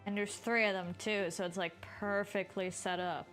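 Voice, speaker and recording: A woman's voice: medium pitch, steady tone, moderate pace, fairly bright tone, faint music behind. A woman livestreamer giving casual, off-the-cuff commentary as she plays through a story game.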